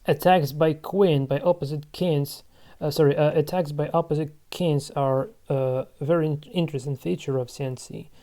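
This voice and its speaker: Man, 30s, channel host; dark, mellow tone; halting think-aloud game commentary. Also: a little high, fairly even intonation. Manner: musing aloud to himself, halting. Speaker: channel host playing online board games.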